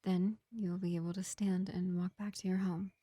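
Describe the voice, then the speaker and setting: A gentle vampire medic, played by a woman, speaks in a hushed, whispery murmur. The tone is soothing and unhurried, with a mellow, soft-edged timbre. It is a close, dry fantasy roleplay recording for a single listener. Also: fairly low pitch, little pitch movement, slightly rough, dry recording. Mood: reassuring, regretful. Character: gentle, kindly vampire field medic tending a wounded elf. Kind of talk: roleplay audio drama addressed to the listener.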